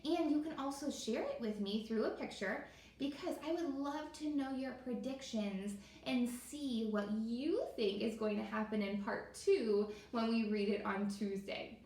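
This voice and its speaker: A woman's voice: on the high side, a little slow, darkish tone. A woman teacher leading an interactive read-aloud for young children, encouraging them and putting questions straight to them. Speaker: elementary school teacher. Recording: echoey room with faint noise.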